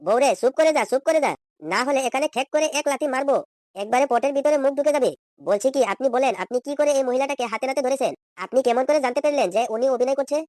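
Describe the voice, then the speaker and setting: A man's voice: extremely high pitch, fast talker, darkish tone, dry recording, clean studio sound. A man voicing an exasperated, insistent husband in a comedy cartoon. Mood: reproachful. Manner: insistent, questioning, reproachful. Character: exasperated, nagging cartoon husband questioning his wife.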